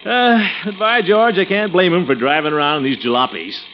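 A man's voice: high voice, brisk, a little hiss or hum, close to an everyday voice. An actor playing a pompous, jovial, easily flustered middle-aged man in a blustery 1940s radio comedy style. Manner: blustery, chuckling, theatrical delivery. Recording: muffled vintage radio recording with music.

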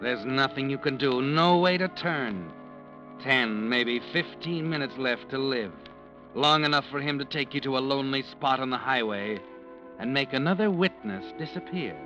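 An actor playing an ominous, sardonic storyteller, narrating a 1940s radio suspense show in the second person on an old recording. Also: male voice, medium pitch, animated, dull audio, lightly acted. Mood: ominous, suspenseful. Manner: sardonic, deliberate, dramatic. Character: ominous, knowing male storyteller addressing a doomed man. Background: dramatic music.

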